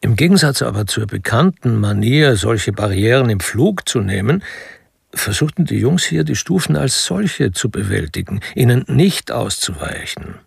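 An actor playing a wry storyteller, narrating a literary crime radio drama with deliberate pauses and a gravelly voice. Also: male voice, medium pitch, big pitch swings, dry recording, clean studio sound, exaggerated voice. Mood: observant, wry.

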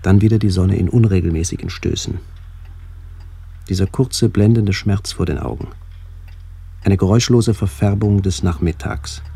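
A man playing a somber first-person narrator in a literary radio play, speaking in a measured, descriptive way. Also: low voice, vocal fry, dark tone, breathy edge, heavily acted. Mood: somber, contemplative. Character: somber male first-person narrator remembering his aged aunts.

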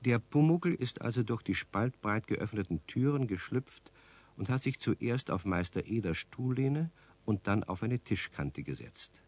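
A man's voice: fairly low pitch, lively intonation, a little slow, a little mellow. A man performing as a friendly storyteller, narrating a scripted children's radio play. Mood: explaining.

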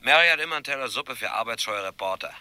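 An actor playing a busy, teasing newspaper editor in a 1970s German radio crime play, dry and mocking. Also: male voice, mid-range voice, expressive, very fast, pushed and bright, no room sound.

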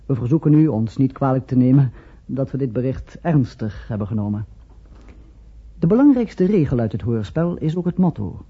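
Man, radio play announcer, scripted formal introduction, deliberate, husky, muffled vintage sound. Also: medium pitch, lively intonation, dark tone. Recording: muffled old radio recording.

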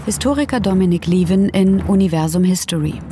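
A woman's voice: fairly low pitch, expressive, fairly quick, a crowd in the background. A woman reading scripted announcements as a narrator in a radio production. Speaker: announcer of dates and sources.

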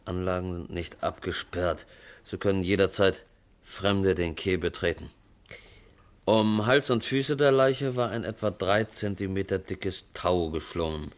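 An actor playing a flippant, easily bored newspaper reporter, nonchalant and wry, in a 1950s German radio crime drama. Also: male voice, low-pitched, unhurried.

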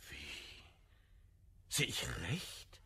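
An actor playing an ardent, impatient suitor in a classical verse radio comedy, excitable and declamatory. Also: male voice, extremely slow, exaggerated voice. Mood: pleading, impassioned. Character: ardent, impatient male suitor, theatrical and insistent.

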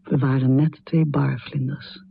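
Husky, gravelly brooding woman poet. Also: very deep voice, flat delivery, a little slow, lo-fi sound, exaggerated voice. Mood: confiding, restless. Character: troubled, confessional American woman poet, heavy drinker, death-obsessed. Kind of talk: radio drama monologue and poetry recital.

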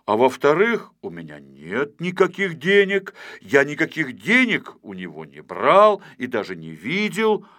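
A man's voice: a little high, huge pitch swings, unhurried, few pauses, fairly bright tone, exaggerated voice. A man voicing a naive, earnest little fellow in an audio storybook, hesitant but politely dignified. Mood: indignant dignity.

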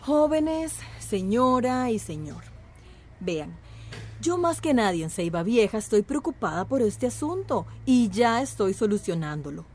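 Condescending female mayor, lively pitch woman acting. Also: a little slow, faint music behind. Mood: placating, condescending. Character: smooth-talking female mayor, condescending and self-assured. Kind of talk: radio drama acting.

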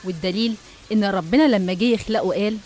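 A woman with an Egyptian accent narrating a scripted animated story. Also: mid-range voice, fast, a little hiss or hum.

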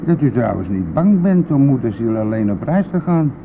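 A noisy, phone-thin old radio recording of a country bus driver. He is a gruff, talkative man, impatient and boastful, speaking at a middling pitch with brusque exasperation. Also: sing-song, normal speed, narrow, tinny audio. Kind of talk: radio play dialogue.

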